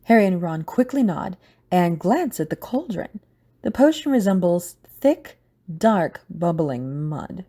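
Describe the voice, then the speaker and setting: A woman's voice: on the low side, big pitch swings, unhurried, dark tone. A woman narrating a scripted audio drama.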